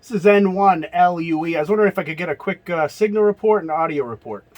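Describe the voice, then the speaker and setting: A man's voice: high voice, quick pace. A man speaking hesitantly as an amateur radio operator, giving calls and casual replies. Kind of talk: radio call and casual reply.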